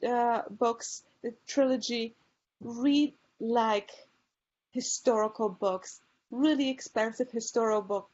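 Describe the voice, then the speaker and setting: A woman with a mixed Polish and Chicago accent presents to a room of peers, recommending books eagerly with many ums and restarts. Her voice sits a little high. The recording sounds dull and lo-fi. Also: darkish tone. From Poland. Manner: enthusiastic book recommendations with frequent fillers. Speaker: librarian presenter. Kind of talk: conference presentation.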